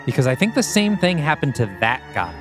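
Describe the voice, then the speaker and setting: A man's voice: fairly high pitch, sing-song, fairly quick, faint music behind. A man playing a skeptical, anxious young tech engineer in an audio drama, casual and puzzled. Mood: concerned. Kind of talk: audio drama table-read acting.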